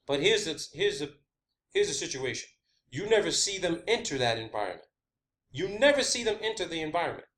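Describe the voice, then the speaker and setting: A man's voice: a little high, very animated, fairly quick, a bit bright. A man giving an informal, emphatic explanatory monologue, repeating phrases, swearing and putting questions to himself. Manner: poses questions and answers them himself. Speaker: online video maker presenting own theories.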